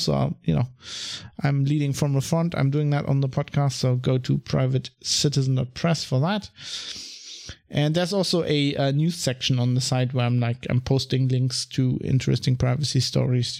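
A man's voice: mid-range voice, creaky, darkish tone. A man with a German accent hosting a solo podcast, rambling through his thoughts with many ums and restarts. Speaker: podcast host. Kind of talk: casual solo podcast monologue.

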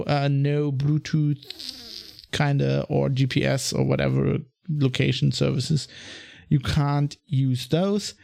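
Casual solo podcast host, male, German accent, gravelly fry, loose rambling delivery. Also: on the high side, a little mellow. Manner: rambling, many ums and restarts.

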